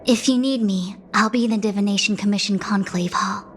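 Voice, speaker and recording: A woman voicing a composed young diviner official, speaking in a measured, formal and slightly exasperated way, with game music behind. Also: mid-range voice, close to an everyday voice. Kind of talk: game cutscene voice acting.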